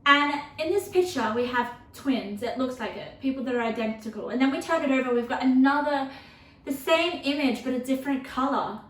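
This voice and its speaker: A woman giving a casual tarot reading, chatty and rambling with lots of fillers, her voice turning a little croaky. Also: high voice, a little fast, a bit bright, roomy sound, recorded from a distance. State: voice getting croaky from thirst. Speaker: tarot reader.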